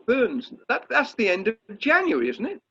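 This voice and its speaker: A man playing a jovial, flattering older society chairman in an amateur radio play, repeating himself as he gushes. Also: extremely high pitch, normal speed, gravelly, husky, heavily acted. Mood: encouraging. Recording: muffled video call audio.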